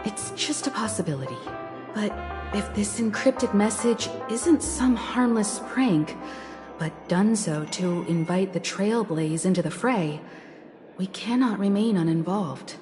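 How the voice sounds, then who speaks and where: A woman voicing a calm, cautious, mature and level-headed navigator in a video game, speaking in a measured, thoughtful way. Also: mid-range voice, close to an everyday voice. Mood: calm, firm. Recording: music clearly underneath. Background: background music.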